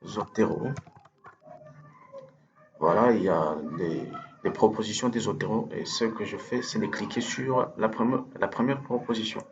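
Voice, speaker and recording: A man with French as his first language, giving a hesitant, step-by-step software tutorial over a screen recording, full of fillers and restarts. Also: on the low side, normal speed, highs cut off, noisy background. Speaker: instructor.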